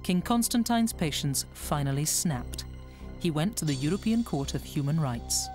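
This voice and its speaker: A documentary narrator delivering scripted, measured explanation. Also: medium pitch, expressive. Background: music.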